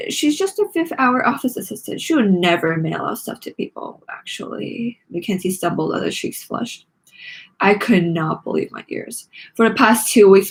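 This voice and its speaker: Female read-along narrator, mid-range with a breathy rasp, dramatic delivery for a children's book. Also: mid-range voice, low-quality recording. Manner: dramatic, acting out the lines. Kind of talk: expressive storybook reading.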